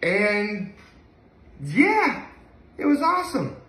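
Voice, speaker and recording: A young man talks casually to a camera a few feet away. His voice is bright and forceful, pitched a little higher than most men's. He rambles with enthusiasm, and the room adds a clear echo. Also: huge pitch swings, very slow. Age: young adult. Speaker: vlogger. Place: living room, indoors. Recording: echoey room, mic at a distance.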